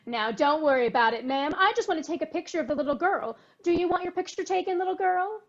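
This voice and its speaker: High-voiced, pushy newspaper reporter, played by a woman. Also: expressive, fast talker, highs cut off. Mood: coaxing. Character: pushy, persistent newspaper reporter badgering a household. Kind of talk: old-time radio play acting.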